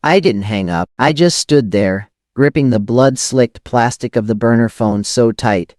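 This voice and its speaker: A man's voice: medium pitch, measured pace, a little mellow, no echo, studio quality. A man reading a first-person scripted thriller as an audio drama narrator, in tense, dramatic, clipped phrases.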